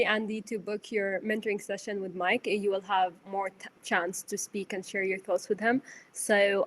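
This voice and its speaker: A woman hosts an online workshop in a voice of middling pitch. She speaks in a brisk, friendly way as she brings the session to a close. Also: a bit bright. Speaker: workshop host.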